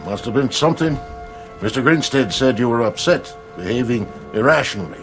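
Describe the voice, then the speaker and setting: A man's voice: mid-range voice, animated, brisk, a bit bright, faint music behind, natural voice. An actor in a radio drama playing a stern, by-the-book policeman with a curt and condescending manner. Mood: firm. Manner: firm, curt, patronizing.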